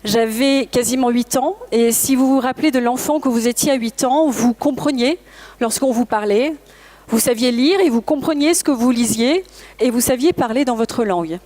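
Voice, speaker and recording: A woman whose first language is English gives a motivational talk at a trade fair, with a voice a little higher than most. She speaks earnestly to the audience and often addresses them directly. Now and then she hesitates and corrects herself in mid-sentence. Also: moderate pace, darkish tone. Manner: occasional self-corrections and hesitations. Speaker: conference speaker, language school founder. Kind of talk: presentation to an audience.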